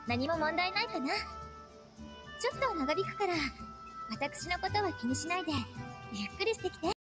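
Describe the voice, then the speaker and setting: A woman voicing a caring, cheerful young woman in a game, gentle and doting, with clear game music behind her. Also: very high-pitched, sing-song, quick pace. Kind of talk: Japanese game voice acting.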